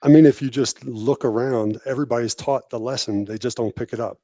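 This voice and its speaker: A man's voice: mid-range voice, fairly even intonation, brisk, warm tone, no room sound. A man giving conversational interview answers, explaining things plainly in short declarative phrases. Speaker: podcast guest, technology professional and army veteran.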